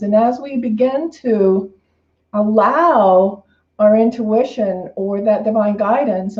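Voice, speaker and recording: A woman minister giving an informal, unscripted spiritual talk in a reflective, conversational way, often repeating and rephrasing her phrases. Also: a little high. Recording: roomy, slightly dull lo-fi sound.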